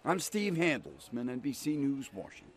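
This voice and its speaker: A man's voice: mid-range voice, wildly swooping pitch, moderate pace. A man with an American accent narrating a scripted network news report as a correspondent.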